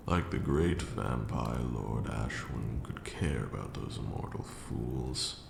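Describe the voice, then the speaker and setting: A man playing an ancient, haughty vampire lord and fond mentor in a slow, theatrical ASMR roleplay monologue, murmured in a deep, gravelly voice. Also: reverberant, background noise, heavily acted. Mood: haughty, dismissive. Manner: theatrical, drawn-out, condescending.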